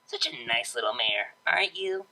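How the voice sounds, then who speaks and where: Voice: mid-range voice, expressive, moderate pace, gravelly, bright, forceful tone, voice pushed down, exaggerated voice, poor audio. A narrator doing a cocky, teasing unicorn DJ mare. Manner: casual, teasing, drawling.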